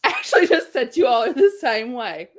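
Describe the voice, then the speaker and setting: A woman with an animated voice that moves freely up and down in pitch. Her talk is casual, blunt and teasing, in the manner of a book reviewer chatting with other hosts. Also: moderate pace. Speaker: book reviewer co-hosting a live chat. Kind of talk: casual chat.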